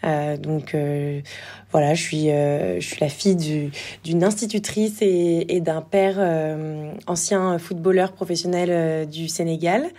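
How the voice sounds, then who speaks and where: Woman in her twenties, podcast guest, warm dark tone, lowish pitch, hesitant conversational delivery. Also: fairly even intonation, unhurried. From Normandy, France. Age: 20s. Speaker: podcast guest, former athlete and entrepreneur. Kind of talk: casual interview chat.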